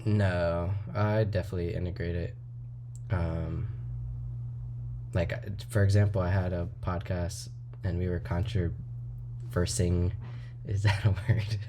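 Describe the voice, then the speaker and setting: A man hosting a podcast, talking casually and haltingly with many fillers. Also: sits low, flat delivery, noisy background. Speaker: podcast host. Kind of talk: casual interview conversation.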